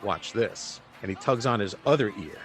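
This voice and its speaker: An actor playing a laid-back, sardonic male buddy with a dry, halting, teasing delivery in audio drama dialogue. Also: on the low side, expressive, soft background music, natural voice. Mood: joking.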